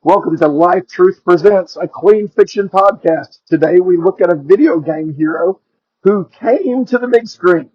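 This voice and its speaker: A man with a high voice introduces a podcast and reads out announcements as its host. The recording is dry and slightly dull, with little room around him. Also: a little slow, breathy edge, no room sound.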